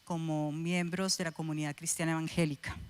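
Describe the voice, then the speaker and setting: A middle-aged woman with a Colombian accent giving a personal testimony speech as a guest at a church, speaking in an emphatic, deliberate manner. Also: low-pitched, flat delivery. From Cúcuta, Colombia.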